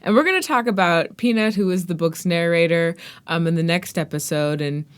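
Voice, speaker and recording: A woman's voice: fairly low pitch, fairly quick, clear, resonant tone. A woman hosting a podcast interview in a conversational, articulate and reflective way.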